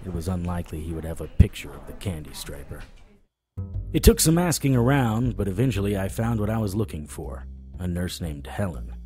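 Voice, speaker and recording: Male noir private eye, deep and dark-toned, wry, deadpan, audio drama. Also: fairly quick, soft background music. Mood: wry, probing. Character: wry, world-weary male private investigator of the supernatural. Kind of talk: first-person noir narration and dialogue in audio drama.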